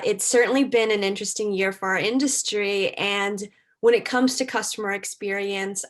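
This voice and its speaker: A young adult woman moderating an interview, asking long, measured framing questions. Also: medium pitch. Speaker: interview moderator, fashion editor.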